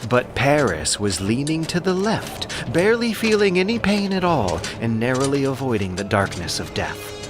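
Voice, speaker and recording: A man narrating an epic audiobook in a dramatic, scripted style with theatrical, deliberate emphasis. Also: medium pitch, big pitch swings, over music. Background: ambient sound effects.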